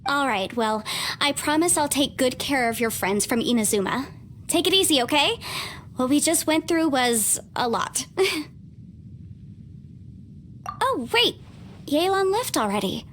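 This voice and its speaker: A woman playing a bright, polite young female adventurer in a video game, upbeat and earnest. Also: sits high, animated, a little slow, pauses often, a little hiss or hum. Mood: cheerful. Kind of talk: video game cutscene acting. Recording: game music behind.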